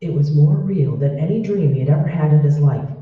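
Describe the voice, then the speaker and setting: A man's voice: on the high side, brisk. A man narrating a scripted old-time radio drama. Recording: very echoey hall, distant mic, muffled.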